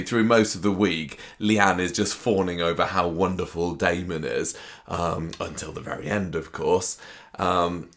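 A man's voice: deep voice, a bit bright. A man with a sore throat recapping a soap opera in casual chat, often stopping and restarting as he mocks the characters. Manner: mocking impressions of characters, halting restarts. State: sore throat, losing his voice. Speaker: soap opera podcast host.

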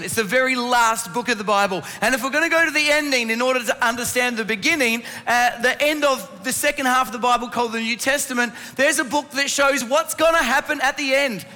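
A man's voice: very high-pitched, sharp tone. A man preaching a sermon to a congregation in an instructive way, asking rhetorical questions, his voice strained by laryngitis. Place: church hall. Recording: reverberant hall sound.

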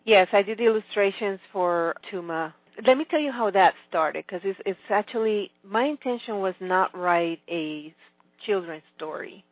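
A woman's voice: mid-range voice, moderate pace, dry recording. A middle-aged woman from Venezuela, a Spanish speaker, chatting as an author guest in a phone interview and restarting often. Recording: tinny phone line.

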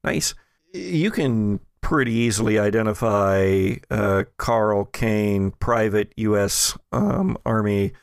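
A man running a tabletop roleplaying game, improvising narration and rulings with a halting, searching delivery. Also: on the low side, clean tone, no echo, clean studio sound. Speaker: game master.

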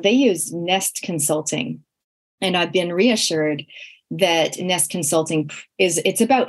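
A woman's voice: fairly low pitch, husky. A woman with a Canadian accent hosting a radio talk show, earnest and chatty, often restarting her sentences. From New Zealand. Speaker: radio host.

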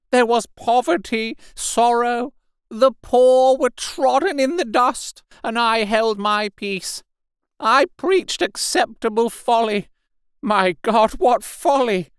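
A man's voice: very high voice, fairly bright tone, smooth, no echo, clean studio sound, pitched above the performer's own voice, full character voice. A man voicing a half-mad curate in an audiobook, ranting like a doomsday preacher with fervent, wailing declamation. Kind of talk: dramatic audiobook character voice.

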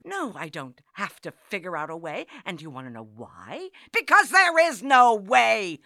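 A woman's voice: mid-range voice, big pitch swings, moderate pace, bright, forceful tone, no echo, studio quality. A woman playing an exasperated, argumentative New York wife in an audio comedy, speaking with sarcastic, indignant emphasis. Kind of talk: comedic audio drama acting.

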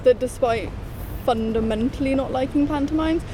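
A woman chatting informally as a panellist, recorded outdoors with clear street noise behind her. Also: sits high, normal speed. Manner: informal, frequent "like". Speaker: theatre critic and panellist. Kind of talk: casual chat. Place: outdoors outside a street cafe. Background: traffic and urban ambience.

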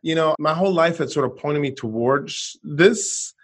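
A man's voice: fairly high pitch, normal speed, slightly rough. A middle-aged man from Sweden, an author and entrepreneur, telling his story as a guest in a conversational interview, restarting sentences as he goes. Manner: animated storytelling, frequent restarts.

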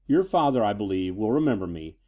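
Want a male reader as a polite young hero for pulp audiobook, earnest, relaxed timbre, lively intonation. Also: fairly low pitch, fairly quick. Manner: courteous, earnest. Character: polite, reassuring young man, eager to help.

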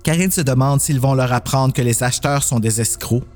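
A man's voice: medium pitch, brisk. Man narrating over music. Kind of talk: scripted horror story narration.

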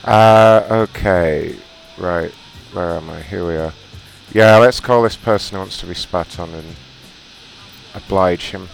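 A man's voice: low-pitched, measured pace, vocal fry, soft background music, rough recording. A man hosting a radio prank segment in a laid-back, casual way. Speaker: radio show host.

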